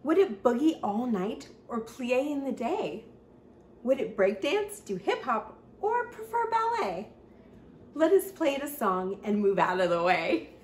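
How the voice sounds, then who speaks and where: A young adult woman hosting a children's read-aloud, reading picture-book text in an expressive sing-song way with drawn-out emphasis. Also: fairly high pitch. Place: small indoor room with bookshelf. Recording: roomy echo, faint background noise.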